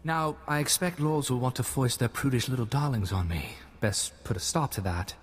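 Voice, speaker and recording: A man's voice: mid-range voice, normal speed, slightly rough, natural voice. A man voicing a smug, flirtatious rogue of a nobleman in a video game, theatrical and teasing. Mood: gloating. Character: roguish, lecherous male noble, smug and flippant. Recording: long hall reverb.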